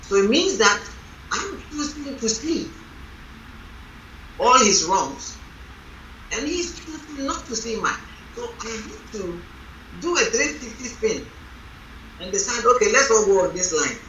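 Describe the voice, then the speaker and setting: A woman reverend leading a panel on marriage, talking in a loud, strong voice and telling stories with emphasis. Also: on the high side, big pitch swings, a little slow, pauses often, highs cut off, slight background noise. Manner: emphatic, storytelling. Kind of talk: panel discussion. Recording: roomy hall from a distant mic.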